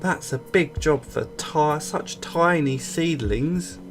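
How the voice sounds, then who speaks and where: A man's voice: a little high, slow pace, voice pushed up. Man reading as a curious girl astronaut over music. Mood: awed. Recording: music bed behind voice.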